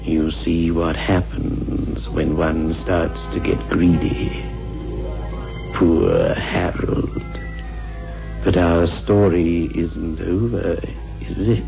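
An actor playing a sinister, mocking horror-show host in 1960s radio style, drawing out the words. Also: male voice, sits low, creaky, full character voice. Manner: slow, drawn-out, mocking. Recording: dull old radio recording, faint music.

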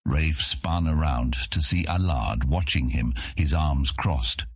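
A man narrating a fantasy audiobook in an expressive, scripted storytelling style. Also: very deep voice, takes it slowly, breathy edge, no echo, studio quality.

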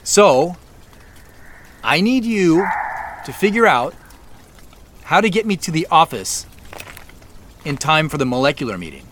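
An actor playing a stranded, exasperated office worker pleading for help, halting with dramatic pauses, in an audio drama. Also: male voice, fairly high pitch, big pitch swings, a bit bright, slight background noise.

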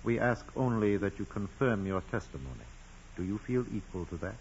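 An actor playing a solemn, authoritative bishop, speaking formally and gravely in a 1940s radio drama. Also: male voice, lowish voice, sing-song, a little fast. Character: solemn, authoritative bishop questioning a dying nun. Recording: muffled, hissy vintage radio recording.

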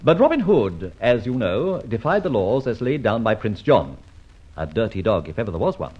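An actor playing a conceited, teasing gentleman adventurer in a mid-century radio drama, suave and mock-innocent. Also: fairly low pitch, big pitch swings, moderate pace. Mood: breezy. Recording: dull old radio recording.

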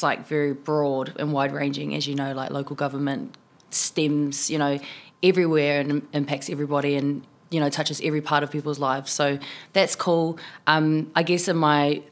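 A woman's voice: deep voice, steady tone, normal speed. A woman in her twenties from New Zealand with a New Zealand accent, a city councillor chatting casually in a podcast interview, full of "um" and "like". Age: 20s. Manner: chatty, many fillers like "um" and "like".

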